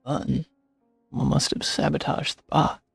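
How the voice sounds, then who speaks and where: A man's voice: a little high, flat delivery, moderate pace, gravelly, husky, no echo, soft background music. A man gaming and giving casual, off-the-cuff reaction commentary. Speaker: gamer reacting while playing.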